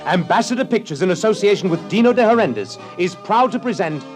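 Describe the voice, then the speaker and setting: Pompous, swooping movie-trailer announcer. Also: male voice, high voice, animated, brisk, faint music behind. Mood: grand, solemn. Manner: pompous, dramatic. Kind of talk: mock film trailer, radio comedy.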